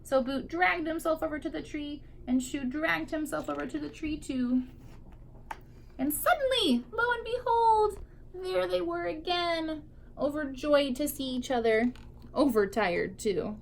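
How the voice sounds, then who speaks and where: A woman's voice: sits high, lively intonation, measured pace, gravelly, a little hiss or hum. A woman teacher reading a picture book aloud expressively to young children, drawing out key words for emphasis.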